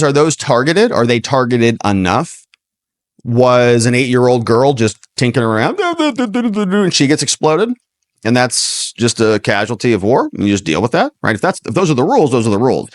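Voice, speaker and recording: A man's voice: mid-range voice, big pitch swings, moderate pace, no room sound, studio quality. An American man hosting political commentary, opinionated and given to rhetorical questions. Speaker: political commentator and show host. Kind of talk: livestream news commentary over played clips.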